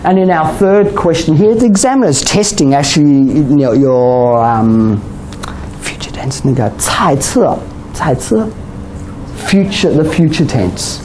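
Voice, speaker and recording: A man's voice: on the high side, lively intonation, a little slow, a little mellow, a little hiss or hum. Australian man teaching a chatty class. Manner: chatty, many "okay?" and "you know?" tags, laughs often. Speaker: IELTS teacher. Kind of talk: classroom lecture.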